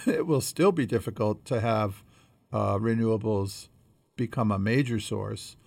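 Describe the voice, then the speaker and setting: A man co-hosting a conversational podcast, explaining things in a measured way as he thinks aloud. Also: on the low side, vocal fry, darkish tone, no echo. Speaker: podcast co-host.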